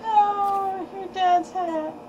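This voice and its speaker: A woman chatting casually while playing a game, rambling and quick to laugh. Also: extremely high pitch, fairly even intonation, muffled recording. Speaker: game commentator. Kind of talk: casual let's-play chat. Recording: distant mic with loud background noise.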